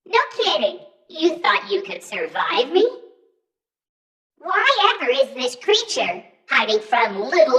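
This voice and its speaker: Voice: high voice, sharp tone, echoey room, heavily acted. An actor voicing a vain, menacing animatronic rock star in a video game, haughty, showy and theatrical.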